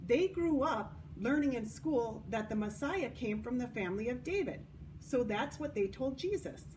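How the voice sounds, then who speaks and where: High-voiced woman telling stories, distant noisy recording. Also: lively intonation, moderate pace, a little mellow. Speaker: church children's story time host. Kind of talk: children's story reading and devotional talk. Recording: distant microphone, noticeable background noise.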